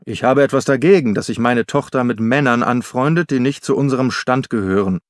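A man voicing an angry, possessive father in an audiobook, gruff and blustering. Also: mid-range voice, brisk, no room sound, clean studio sound, natural voice. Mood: indignant. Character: angry, possessive working-class father of a grown daughter.

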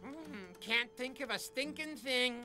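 A man's voice: very high-pitched, unhurried, pushed and bright, heavily acted. A man voicing a brash, wisecracking sidekick in a cartoon adventure, blunt and slangy.

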